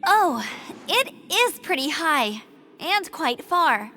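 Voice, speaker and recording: A woman's voice: sits high, sing-song, slow, bright, forceful tone, slight background noise, full character voice. A woman playing a plucky, confident teenage scout knight in an anime-style video game, spirited and earnest. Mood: puzzled, frustrated.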